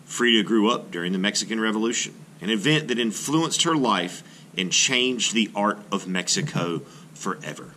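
A middle-aged man reading a children's nonfiction book aloud as an art teacher, in a clear, measured, expressive way. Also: on the low side, noisy background.

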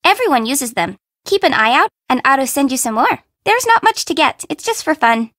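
A woman's voice: high-pitched, big pitch swings, normal speed, fairly bright tone, dry recording, studio quality. An actress voicing a flippant, sassy young fashion-minded city girl in a video game, with a teasing, slangy, blunt way of talking. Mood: casual. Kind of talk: video game character dialogue.

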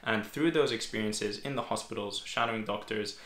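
A man's voice: on the low side, fairly quick, a bit bright. A young man in his twenties, a medical student, chatting casually through viewer questions at a desk in a small room. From Greece. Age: 20s. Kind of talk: casual Q&A vlog. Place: small bedroom home office, indoors. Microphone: fixed camera at desk. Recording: echoey room.

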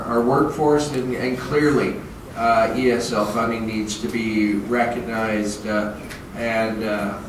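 A man in his thirties on a political panel, speaking with many fillers and pushing for action, on a handheld mic. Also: mid-range voice. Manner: many filler uhs, emphatic calls to act. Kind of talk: panel debate answer. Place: large hotel meeting room. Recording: far-off echoey hall with noise.

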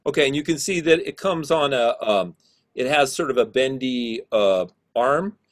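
A middle-aged man with a low voice teaching an online class over a video call, explaining casually with many fillers. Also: expressive, moderate pace.

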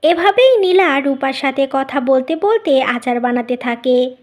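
A woman narrates a scripted story. Her voice sits very high as she links the scenes as narrator. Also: lively intonation, unhurried, darkish tone.

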